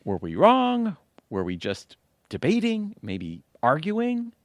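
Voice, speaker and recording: Exasperated husband, dry sarcasm, animated pitch, comedy audio play, faint noise. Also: male voice, slow, full character voice. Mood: rueful. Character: Richard, wry suburban husband, sardonic and exasperated. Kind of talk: audio comedy drama acting.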